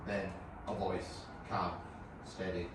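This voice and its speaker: A man's voice: sits low, level tone, takes it slowly. A man narrating scripted stage directions at a screenplay table read. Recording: distant, echoey room with clear noise.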